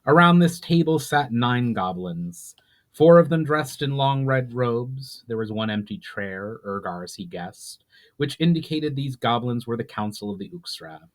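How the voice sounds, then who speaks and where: A man's voice: mid-range voice, expressive, a little slow. A man reading his own fantasy novel aloud as dramatic scripted narration.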